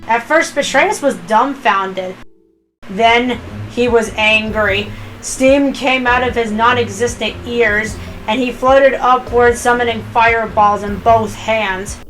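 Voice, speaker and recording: A woman narrates a story as an amateur reader. Her voice is a little high and she tells it with drama. The recording carries some faint noise. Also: slow pace, a bit bright, low-quality recording. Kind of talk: dramatic fan fiction narration.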